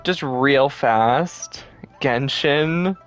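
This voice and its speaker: A man's voice: medium pitch, very slow, soft background music. A man giving casual gameplay commentary as a streamer, chatty, colloquial and self-deprecating. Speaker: game streamer.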